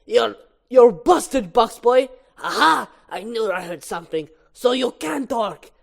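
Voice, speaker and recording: A bold little male honeybee from an animated film, acted out by a woman. The voice is raised high and loud, with a confrontational, accusing bite. Lines are declaimed like a hero facing down a villain. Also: moderate pace, close to an everyday voice. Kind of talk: animated film script read-through.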